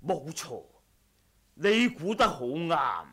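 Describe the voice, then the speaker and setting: An actor playing a cold, haughty martial-arts assassin in a radio drama, measured and sardonic in delivery. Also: male voice, fairly high pitch, big pitch swings, a bit bright, slightly rough, exaggerated voice. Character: ruthless male wuxia assassin, cold and haughty. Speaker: audiobook voice actor.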